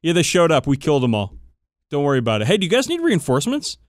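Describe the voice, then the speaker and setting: A man's voice: on the high side, wildly swooping pitch, fast talker, no room sound, studio quality. A man co-hosting a podcast in casual chat, emphatic, irreverent and full of swearing. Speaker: podcast co-host. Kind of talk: casual banter about tabletop wargaming.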